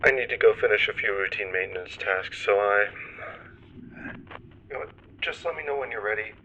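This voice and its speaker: A man's voice: fairly low pitch, a little fast, pushed and bright, lo-fi sound, a little hiss or hum. A man acting an easygoing, offhand space crewman in a sci-fi audio roleplay. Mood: casual, easygoing. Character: easygoing man, space crew colleague McKade in sci-fi drama.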